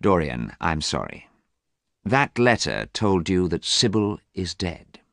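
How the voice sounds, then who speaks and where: A man voicing a worldly, persuasive gentleman friend in a read-aloud story, with a theatrical, coaxing lilt. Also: low voice, big pitch swings, slow pace, vocal fry, no echo, clean studio sound, full character voice. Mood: grave. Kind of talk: audiobook character reading.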